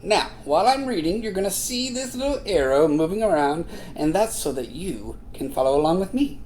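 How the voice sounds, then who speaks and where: Woman voicing a bouncy, animated puppet reading teacher. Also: lowish voice, slight background noise, low-quality recording. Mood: cheerful, encouraging. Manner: exaggerated, encouraging, sing-song delivery with pauses for repeating. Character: Sebastian, a cheerful puppet reading to kids. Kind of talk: read-along lesson for young children.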